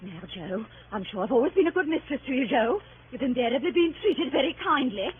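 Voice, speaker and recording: A woman's voice: high-pitched, lively intonation, a little fast, background noise. An actress playing a fussy, easily shocked deaf old lady, querulous and exclaiming, in 1930s radio comedy. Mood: nervous pleading. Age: older. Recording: tinny, narrow-band 1930s radio recording.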